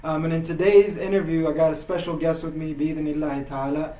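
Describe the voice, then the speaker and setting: A man's voice: on the high side, level tone, brisk. A man hosting an interview, opening with a prayer and often saying alhamdulillah. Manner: warm religious phrases, often says alhamdulillah. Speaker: host. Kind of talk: casual interview with religious opening. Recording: roomy echo with faint noise.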